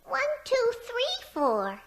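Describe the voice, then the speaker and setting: Squeaky, creaky, scatterbrained secretary. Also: female voice, huge pitch swings, takes it slowly, fairly bright tone, heavily acted. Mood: earnestly dim. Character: dim-witted, scatterbrained female secretary, cheerfully literal. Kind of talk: 1940s radio comedy acting. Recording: noisy, crackly old radio recording.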